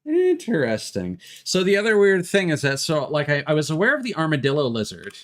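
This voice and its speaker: A man's voice: fairly high pitch, huge pitch swings, fairly quick. A man doing casual, rambling livestream commentary over a video game, thinking aloud as he goes. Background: music behind the voice.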